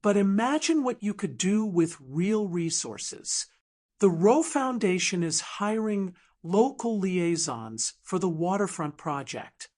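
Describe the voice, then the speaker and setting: A woman voicing a polished, manipulative businesswoman in an audiobook drama, smooth, sugary and condescending. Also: medium pitch, a little mellow. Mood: persuasive, smooth.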